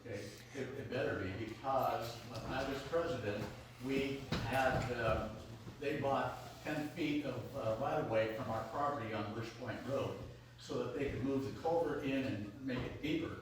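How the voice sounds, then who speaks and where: A man, a former club president, speaking firmly but haltingly from the floor of a meeting. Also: medium pitch. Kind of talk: community meeting discussion. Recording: very echoey hall, from far off, with noise.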